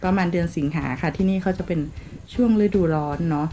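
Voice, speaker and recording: A woman from Thailand narrating a casual travel vlog, polite and chatty, with soft endings on her sentences. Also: fairly low pitch, fast talker, a little hiss or hum. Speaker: travel vlogger. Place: outdoors in a city, partly on a tram.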